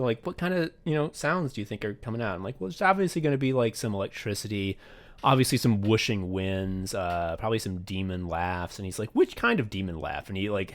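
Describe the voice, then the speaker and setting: A man co-hosting a casual podcast, swearing freely and telling stories emphatically, acting out bits of conversation as he goes. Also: mid-range voice. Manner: profane, effusive, emphatic storytelling; acts out remembered exchanges in dialogue. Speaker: podcast host and audio play writer. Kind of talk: casual podcast chat, retrospective.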